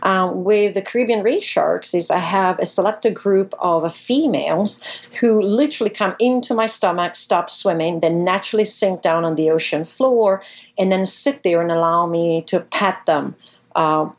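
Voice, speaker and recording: A woman's voice: lowish voice, normal speed. Woman in her forties. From Italy. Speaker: guest, professional shark diver. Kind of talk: conversational interview answers.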